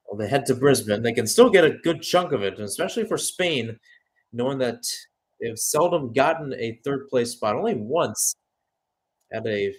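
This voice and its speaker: A man with a mid-pitched voice commentates on a live football match in radio play-by-play style. He follows the action in short calls, then talks it over conversationally, as if thinking aloud. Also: poor audio.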